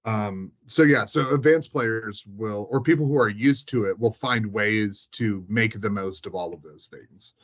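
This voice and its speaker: Low-voiced man in casual chat. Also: measured pace, few pauses, dull audio. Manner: informal, sweary, explains game rules in detail.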